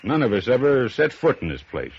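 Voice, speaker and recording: A man's voice: mid-range voice, sing-song, fairly quick. An American man playing a folksy, curious pier booth operator in a 1950s radio drama, chatty and hesitant in colloquial speech. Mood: guarded, chatty. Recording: dull, noisy old radio recording.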